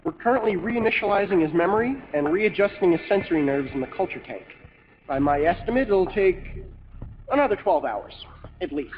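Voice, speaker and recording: Deferential military lab man for a game, anxious, high-pitched, dull recording over music. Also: animated, brisk. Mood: nervous, deferential. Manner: apologetic, formal. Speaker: military technician. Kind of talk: scripted video game voice acting. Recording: muffled lo-fi game audio with music.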